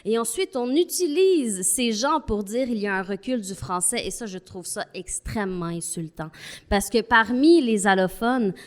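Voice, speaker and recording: A woman's voice: medium pitch, expressive, normal speed. Earnest woman. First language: not French. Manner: earnest, thoughtful, argues step by step. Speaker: panel guest. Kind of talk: panel discussion.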